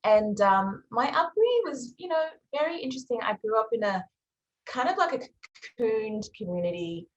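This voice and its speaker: A woman's voice: fairly high pitch, sing-song, normal speed, echoey room, lo-fi sound, a little hiss or hum. A young Australian woman, a musician, answering interview questions in a reflective, earnest way. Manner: reflective and earnest, with soft fillers. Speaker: musician guest.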